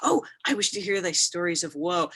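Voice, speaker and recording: A woman's voice: lowish voice, lively intonation, normal speed, a bit bright, slightly rough. A woman playing an eager, curious young child in a theatrical staged reading in mock-Shakespearean English.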